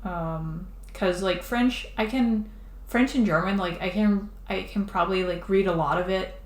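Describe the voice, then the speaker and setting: A woman's voice: medium pitch, a little slow. A woman streaming live while drawing a comic, chatting casually and rambling, with many fillers and restarts. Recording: echoey room, faint background noise.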